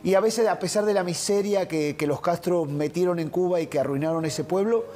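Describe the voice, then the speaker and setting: A man's voice: high voice, fairly quick, warm tone. A man co-hosting a studio talk show, trading teasing banter on a lapel mic. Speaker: co-host. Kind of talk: casual chat. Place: TV studio set.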